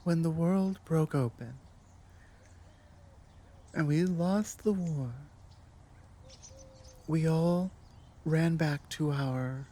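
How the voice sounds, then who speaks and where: Woman acting slow, mellow, low-voiced dragon elder. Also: heavily acted. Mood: solemn, regretful. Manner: commanding, deliberate, with halting dramatic pauses. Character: proud ancient female dragon scholar, dominant, burdened by guilt. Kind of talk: ASMR roleplay audio drama.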